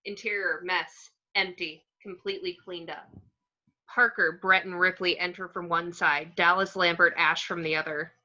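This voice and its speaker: A woman's voice: fairly low pitch, steady tone, moderate pace, bright, forceful tone. A woman narrating screenplay action descriptions in an amateur table read, on muffled lo-fi audio.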